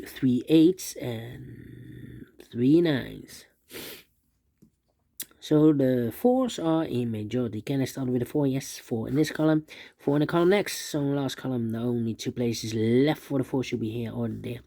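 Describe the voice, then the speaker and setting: Gravelly-voiced man calmly talking through a puzzle. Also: medium pitch. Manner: hesitant, many uh fillers, repeats numbers aloud. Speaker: sudoku instructor. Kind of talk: solving a puzzle step by step while narrating.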